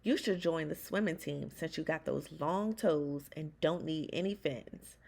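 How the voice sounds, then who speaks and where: A woman's voice: sits low, unhurried, vocal fry, darkish tone, full character voice. A woman voicing a teasing older brother, a taunting boy in a storybook read-aloud.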